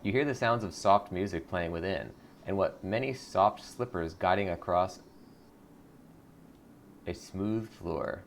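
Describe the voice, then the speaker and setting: A man's voice: sits low, unhurried, gravelly, a little hiss or hum. A man hosting a gaming video, reading out narration and adding casual commentary.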